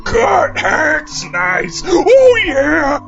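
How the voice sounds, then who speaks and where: Gleeful cackling chainsaw maniac, extremely high and creaky, slow, comedy horror audio. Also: female voice, animated, fairly bright tone, muffled recording, soft background music, full character voice. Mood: manic glee. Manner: cackling, drawn-out. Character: manic gleeful chainsaw killer Pete.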